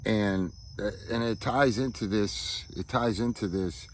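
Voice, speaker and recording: A man with a low, deep voice talks in a reflective, personal vlog style. He moves haltingly, searching for words and often tagging his thoughts with a quick check for agreement. Faint outdoor insect noise sits behind him. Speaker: vlogger. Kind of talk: reflective personal monologue to camera. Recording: outdoor ambience with insects chirping.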